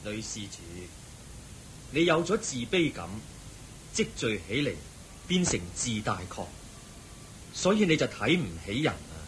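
An actor with a Hong Kong Cantonese accent voicing a calm, patient Buddha who gently teaches. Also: male voice, mid-range voice, unhurried, pauses often, a little hiss or hum, poor audio. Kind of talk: Cantonese radio drama acting.

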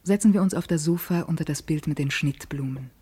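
An actress playing a restless, proud, solitary woman in her thirties in a literary radio monologue, intimate and deliberate, with a breathy voice. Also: deep voice, warm tone. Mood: intense, confiding.

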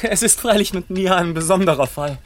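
A man acting a frail, harried gentleman writer in a radio play, whimsical, indignant and theatrically digressive, on an old recording. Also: high voice, a little fast, slight background noise, natural voice. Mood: grumbling. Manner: theatrical, digressive, excitable, grumbling.

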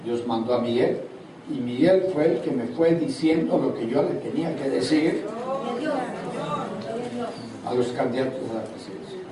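An older man preaching at a church seminar, asking the congregation questions as he goes. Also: mid-range voice. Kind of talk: seminar sermon. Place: large hall at a church seminar. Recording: echoey hall from far off, audible noise.

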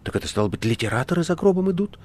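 A man voicing a troubled, proud, sharp-tongued woman in an audiobook, speaking curtly. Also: fairly high pitch, sing-song, very fast, slight background noise, voice pushed up. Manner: curt and sharp.

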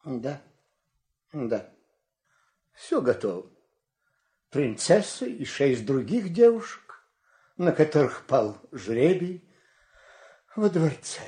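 Slow, grief-stricken king. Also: fairly high pitch, sing-song, warm tone. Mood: sorrowful. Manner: halting, heavy with sorrow. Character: grieving old king, tender father, weary and distraught. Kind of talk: dramatic audio play acting. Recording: roomy echo.

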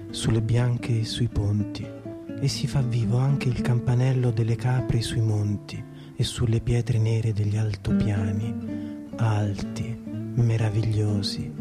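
An actor playing a whimsical poet, reciting surreal soliloquies for radio theatre with deliberate, declamatory phrasing over plucked guitar. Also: male voice, fairly low pitch, lightly acted. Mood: dreamy, lyrical.

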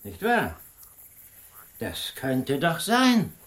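An actor playing a musing, eerie village yarn-spinner in a radio drama, slow and suggestive. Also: male voice, fairly high pitch, huge pitch swings, fairly bright tone, full character voice.

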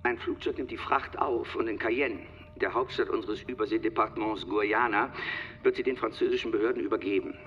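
An actor playing a brisk, confident presidential adviser laying out a plan in a radio drama. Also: male voice, mid-range voice, natural voice. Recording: dull radio-like sound with long reverb.

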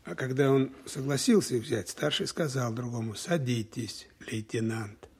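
An actor playing a thoughtful Soviet lieutenant colonel in an audio drama, husky and deliberate, pausing to reason aloud. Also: male voice, mid-range voice, steady tone, slight background noise, natural voice.

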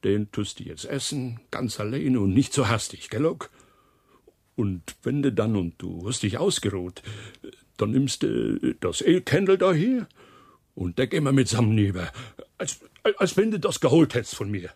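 A man's voice: mid-range voice, sing-song, moderate pace, a little mellow, no room sound, studio quality, heavily acted. An actor playing a coarse but kindly foreman in a radio drama, speaking folksy Silesian German dialect. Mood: gentle, coaxing. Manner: folksy, gruff dialect talk.

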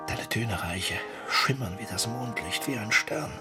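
A man's voice: on the low side, animated, a little slow, loud music behind the voice. An actor playing a brooding, lyrical man in a German period radio drama, theatrical and poetic in his delivery. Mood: mournful, rapt.

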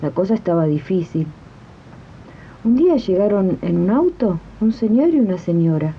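A woman's voice: big pitch swings, normal speed. A woman playing a domestic maid who confides her life story to listeners in a 1980s radio drama. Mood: confiding. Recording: muffled noisy archive radio tape, rumble.

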